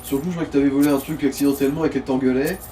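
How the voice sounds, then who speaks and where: A man's voice: mid-range voice, fairly even intonation, rapid-fire, dark tone. A man doing casual, wry and jokey co-commentary on a video game. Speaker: let's play co-commentator. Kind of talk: casual joking gaming commentary. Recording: distant mic with faint noise.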